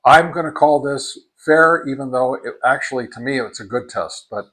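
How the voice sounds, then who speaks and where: A man giving a casual spoken product review in a halting, deliberate way, repeating words for emphasis as a hobbyist reviewer. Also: mid-range voice, fairly bright tone, poor audio.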